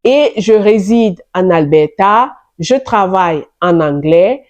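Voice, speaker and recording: Woman, middle-aged, French speaker, slow unhurried delivery, middle pitch, explanatory, repeats key points, advice vlog. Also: expressive, a little mellow, highs cut off. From immigrant to Canada, francophone. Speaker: vlogger giving immigration advice. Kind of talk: advice vlog talking to camera.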